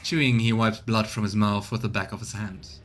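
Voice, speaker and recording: A man streaming a video game, reading dialogue and narration aloud in a measured way with casual asides. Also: lowish voice, steady tone, slight background noise. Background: game music.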